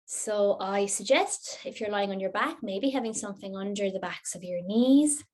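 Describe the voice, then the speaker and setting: A woman leads a guided relaxation as a yoga teacher. Her voice sits at a medium pitch for a woman, and she speaks gently and without hurry, giving soothing, simple instructions. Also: moderate pace. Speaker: yoga nidra teacher guiding a class.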